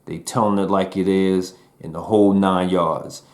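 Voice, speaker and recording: A man in his forties hosting a casual album review, talking to viewers in a relaxed, slangy way. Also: deep voice, normal speed. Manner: laid-back slangy talk to viewers.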